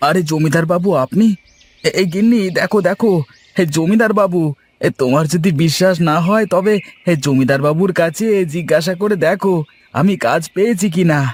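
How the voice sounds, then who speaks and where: A man's voice: sits high, normal speed, voice pushed up. A man voicing a humble, anxious young village husband in a cartoon folk tale, earnest and deferential, over music. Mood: flustered, respectful. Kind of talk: cartoon fairy-tale voice acting. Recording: music bed under voice.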